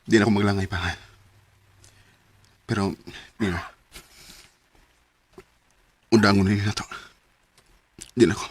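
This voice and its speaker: A man playing an apologetic, guilt-ridden young boyfriend in a radio drama, husky-voiced, with a Cebuano Filipino accent. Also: lowish voice, steady tone, unhurried, long pauses, dry recording. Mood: guilty, resolute. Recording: music under the voice.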